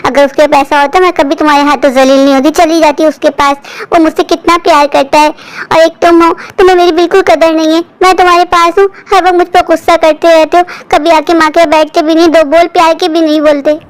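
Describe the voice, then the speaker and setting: A woman's voice: very high-pitched, flat delivery, brisk. A woman voicing a hurt elderly mother in a cartoon moral story, complaining and pleading with her son. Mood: reproachful.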